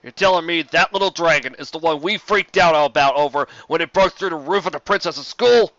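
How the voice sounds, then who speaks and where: A curious pony stallion, voiced by a man, speaks with a bright, pressing tone. He is a guard corporal in a storybook tale, inquisitive and easily amazed. The recording is dry and slightly dull. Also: on the high side, brisk, dry recording, voice pushed up, close to an everyday voice. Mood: astonished. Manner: inquisitive, conversational.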